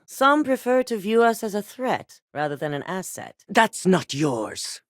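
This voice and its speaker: An actress voicing a cool, calculating female corporate executive in a game, speaking in a composed, measured, authoritative way. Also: low-pitched, dry recording, studio quality. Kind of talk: game voice acting.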